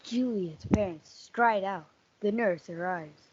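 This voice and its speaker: A young woman reads stage-play narration at a medium pitch. The recording is dull, with clearly audible noise. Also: slow pace.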